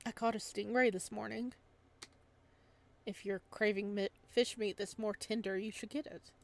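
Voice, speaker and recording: A woman voicing a shy, gruff young male fisherman from a visual novel, reserved and hesitant in manner. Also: medium pitch, steady tone, normal speed, lightly acted. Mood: plain, helpful.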